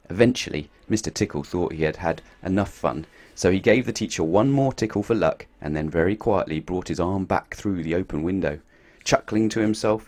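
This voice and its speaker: A man reading a picture book aloud to children, playful and expressive, swinging his voice up and down for effect. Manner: expressive, playful storytelling with big swings in pitch and loudness.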